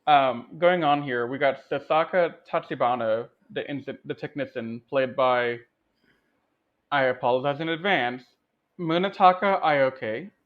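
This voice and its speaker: A man's voice: medium pitch, measured pace. A man co-hosting a film review podcast in a casual, conversational discussion style. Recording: dull, lo-fi voice sound.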